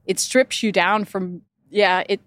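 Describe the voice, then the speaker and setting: A middle-aged woman from Denmark, a podcast guest chatting in a warm, reflective way. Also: mid-range voice, fairly even intonation, unhurried, dry recording. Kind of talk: casual interview conversation.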